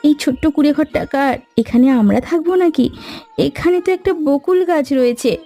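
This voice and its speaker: A woman voicing a gentle, dutiful young wife in a fairy-tale cartoon. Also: high voice, normal speed, faint music behind. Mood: gentle concern.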